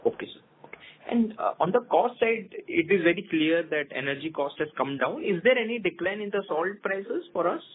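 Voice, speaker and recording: A man's voice: sits high, lively intonation, brisk. A male analyst phoning into an earnings call, putting questions politely and a little hesitantly. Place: phone call into conference. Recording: narrowband phone line, faint noise.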